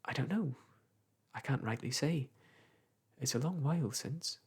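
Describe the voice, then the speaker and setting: A man voicing a timid, downtrodden male weaver who speaks slowly and haltingly. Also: medium pitch, fairly even intonation, darkish tone, slightly rough. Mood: subdued. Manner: slow, halting.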